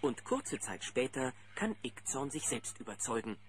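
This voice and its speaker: A man narrating a children's audio drama in a lively storytelling style. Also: mid-range voice, normal speed, a little hiss or hum, lightly acted. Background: loud music.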